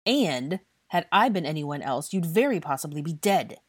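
Female narrator as an angry young male wizard, bitter, scolding, husky, dry close sound, audiobook dialogue. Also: deep voice, sing-song, normal speed, no echo. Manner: heated, scolding, British swearing.

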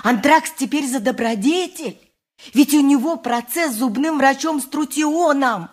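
An actress playing a donkey driver's simple wife in a radio play, speaking in a naive, matter-of-fact way. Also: female voice, high voice, unhurried, soft background music. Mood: naive, pleased. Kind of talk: 1980s radio play monologue.

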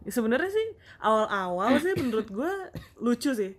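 A young woman co-hosting a casual podcast chat, talking in a measured, reflective way with frequent agreeing murmurs. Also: fairly high pitch, big pitch swings. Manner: measured, reflective, many backchannels. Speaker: podcast co-host.

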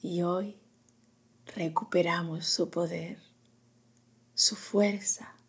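A woman guiding a meditation in a soft, whispery, soothing voice, in short hushed phrases with long pauses. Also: lowish voice, steady tone. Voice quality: breathy, whispery. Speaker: meditation guide.

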